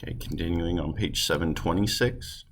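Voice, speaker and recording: Gravelly, very deep-voiced male teacher. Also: normal speed. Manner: informal, explanatory. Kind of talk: classroom read-aloud instruction.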